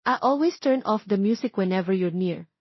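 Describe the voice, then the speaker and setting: A woman voicing a composed, tender husband in a romance story, measured and softly insistent, with a Filipino accent. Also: mid-range voice, expressive, breathy edge, no room sound, dull audio.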